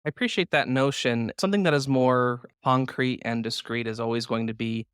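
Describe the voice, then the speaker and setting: Medium-pitched man hosting, very dry sound. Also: brisk, a bit bright, clean tone, dry recording. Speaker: podcast host. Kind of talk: conversational interview.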